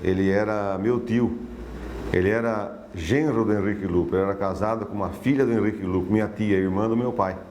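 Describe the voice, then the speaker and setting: A man's voice: on the low side, steady tone, brisk, a little mellow. An older man with a Brazilian accent reminiscing in an unscripted interview. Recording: faint background ambient noise.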